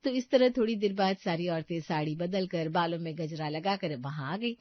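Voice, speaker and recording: A woman's voice: fairly low pitch, normal speed, dry recording, highs cut off. A woman reading scripted narration for a story as its narrator.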